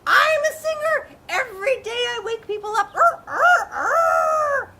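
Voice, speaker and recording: Shrill squawking old rooster. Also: female voice, very animated, measured pace, pushed and bright, a little hiss or hum, full character voice. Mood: distressed crowing. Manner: squawking, crowing wildly.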